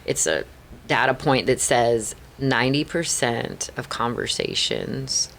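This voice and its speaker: A woman's voice: extremely low pitch, very animated, a little slow, a bit bright. A middle-aged Chicana woman with a Chicana accent, telling candid stories as a podcast guest, earnest and full of "like" and "right?". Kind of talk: candid storytelling interview.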